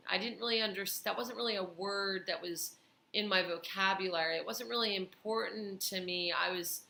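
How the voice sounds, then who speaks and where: A tired woman talking slowly and thoughtfully in a personal vlog, pausing often with fillers. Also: medium pitch, creaky, pushed and bright, roomy sound. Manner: slow, thoughtful, with many pauses and fillers. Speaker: vlogger.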